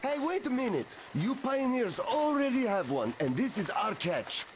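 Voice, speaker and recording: An actor playing a cocky young rival scout in a video game, defiant and taunting. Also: male voice, very high-pitched, big pitch swings, moderate pace, slight background noise.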